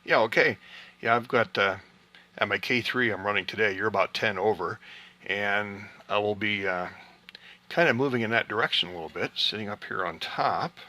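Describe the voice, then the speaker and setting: A man hosting an amateur radio session, chatting casually and explaining things in an unhurried way. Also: fairly low pitch, pushed and bright. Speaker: amateur radio operator hosting an on-air QSO party. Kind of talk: casual ham radio chat.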